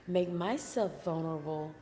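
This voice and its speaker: A woman co-hosting a casual talk show about dating. She has a soft voice and gives measured, thoughtful advice. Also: low-pitched, faint music behind. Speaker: podcast co-host. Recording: long reverb.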